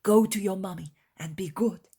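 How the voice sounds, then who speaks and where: A woman playing a gentle yet commanding male religious teacher in a dramatised story, solemn and emphatic. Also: medium pitch, moderate pace, breathy edge. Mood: tender, reassuring.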